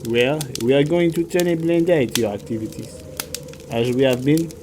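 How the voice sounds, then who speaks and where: A man's voice: mid-range voice, moderate pace, noisy background, close to an everyday voice. A man playing a smug, falsely genial blackmailing town official in an audio drama, with pompous politeness. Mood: smugly genial.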